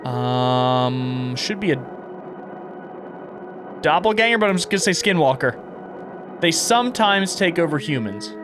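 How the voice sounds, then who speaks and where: A man doing casual video game playthrough commentary, reading prompts aloud and reacting as he thinks out loud. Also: fairly high pitch, sing-song, a little slow, long pauses, over music. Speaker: gaming content creator and streamer.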